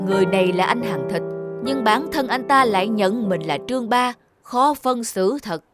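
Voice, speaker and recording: Guy playing stern old-time magistrate, cartoon, bossy and deliberate, music in back. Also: high-pitched, close to an everyday voice. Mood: puzzled, authoritative. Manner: authoritative, deliberate. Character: stern middle-aged male magistrate of old Vietnam. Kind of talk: cartoon voice acting.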